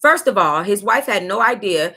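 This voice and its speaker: An American woman reading a memoir aloud as a book club host, with sassy, exclamatory asides and drawn-out emphasis. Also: medium pitch, animated, sharp tone, slightly rough.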